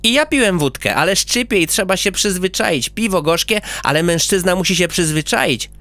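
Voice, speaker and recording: Storybook brat, read by a man: high eager little boy, boastful, mischievous, very lively up and down. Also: normal speed, no room sound, exaggerated voice. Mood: prattling. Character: cheeky boastful little boy, restless chatterbox.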